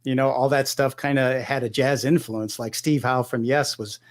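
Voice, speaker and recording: A middle-aged man from Michigan talks about his music as an interview guest. His voice sits at an ordinary middle pitch, and he rambles along with lots of restarts and "you know.". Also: fairly even intonation, moderate pace. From Michigan, United States. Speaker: guest guitarist. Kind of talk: relaxed conversational interview.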